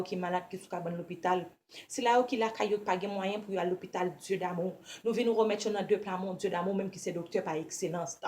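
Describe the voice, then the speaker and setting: A woman's voice: medium pitch, a little mellow. A woman with a Haitian accent, a native Creole speaker, leading devotional prayer with repeated invocations and pleading. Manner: repetitive pleading with frequent invocations. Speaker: prayer leader. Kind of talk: scripture reading and fervent spoken prayer.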